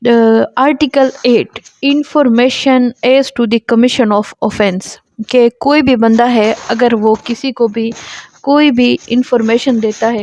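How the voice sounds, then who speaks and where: A woman's voice: a little high, creaky, a little mellow, dry recording. A woman with a Pakistani accent giving an explanatory lecture, reading out legal text and explaining it as a teacher. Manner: switches between Urdu explanation and slowly read English text. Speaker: law lecturer. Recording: dull lo-fi audio.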